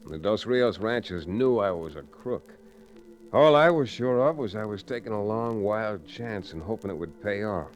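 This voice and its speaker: A man's voice: fairly low pitch, lively intonation, moderate pace, faint music behind, close to an everyday voice. An actor playing a shrewd, tough American frontier hero in an old-time radio western, telling his tale in folksy style. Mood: measured, suspenseful. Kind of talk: old-time radio drama acting, first-person narration. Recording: noisy vintage radio broadcast recording.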